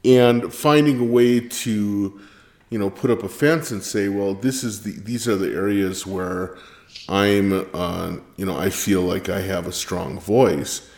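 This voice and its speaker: A man's voice: on the low side, fairly even intonation, measured pace, a little mellow. A man hosting a podcast, asking questions in a curious, conversational way. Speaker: podcast host. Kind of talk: casual interview. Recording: echoey room.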